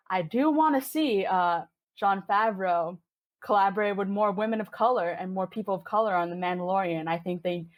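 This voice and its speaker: A woman in her twenties from Hong Kong, chatting with enthusiasm as a podcast guest, with plenty of fillers. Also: on the low side. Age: 20s. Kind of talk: casual interview chat.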